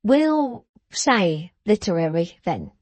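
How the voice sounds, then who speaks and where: A fawning, self-satisfied old man who bows and scrapes with every sentence, as a woman puts him on. His voice is dry and close, and it dips low when he adds a deferential word. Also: big pitch swings, slow pace, a little mellow, no echo. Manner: fawning and deferential, clipped "sir" endings. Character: obsequious, self-important older man with a wooden leg.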